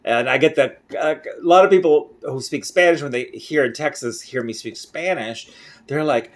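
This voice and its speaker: A man's voice: medium pitch, normal speed. Chatty man with an American accent. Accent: General American. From Mexico. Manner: chatty, rambling, laughs at himself. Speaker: vlogger. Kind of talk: casual daily video diary. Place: at home.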